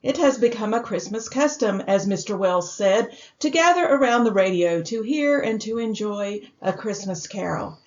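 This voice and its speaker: A woman's voice: mid-range voice, lively intonation, normal speed, hardly any pauses, a little mellow. A woman reading a scripted vintage radio sponsor announcement in a formal, gracious manner. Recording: dull vintage radio recording.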